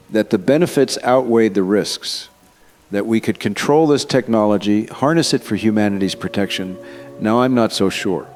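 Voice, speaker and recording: A man's voice: on the low side, darkish tone, natural voice. A man playing a cautious, grave scientist giving careful, earnest answers in a scripted news interview drama. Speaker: expert interviewee.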